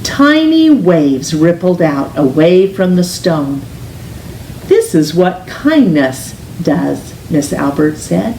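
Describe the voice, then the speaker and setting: Perempuan pembaca cerita anak, tempo lambat, ruangan bergema. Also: female voice, on the low side, very animated, gravelly. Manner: deliberate, gentle storytelling with drawn-out emphasis. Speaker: storytime reader, teacher. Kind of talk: expressive children's picture book read-aloud. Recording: roomy, distant mic with faint hiss.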